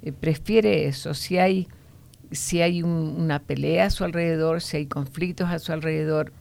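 Low, gravelly woman teaching the Bible. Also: fairly even intonation, darkish tone. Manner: explanatory, often ending points with a questioning "no?"; unhurried, with frequent hesitation fillers.

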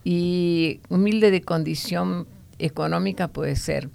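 Low, gravelly woman teaching the Bible. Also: darkish tone. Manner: explanatory, often ending points with a questioning "no?"; unhurried, with frequent hesitation fillers.

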